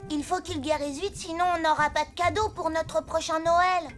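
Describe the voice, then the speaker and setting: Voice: very high voice, steady tone, moderate pace, a bit bright, faint music behind. An actor voicing an eager little elf child in a Christmas cartoon, keen and earnest. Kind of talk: French animated series voice acting.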